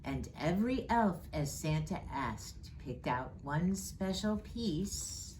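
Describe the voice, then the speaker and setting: A woman reading a children's storybook aloud in an expressive storytime style. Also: deep voice, background noise. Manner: slow, expressive, rhyming read-aloud delivery. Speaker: storyteller reading as Mrs. Claus.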